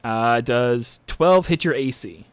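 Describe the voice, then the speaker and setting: A man acting as game master, narrating a tabletop game off the cuff and pausing to check rules aloud. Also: mid-range voice, smooth, dry recording.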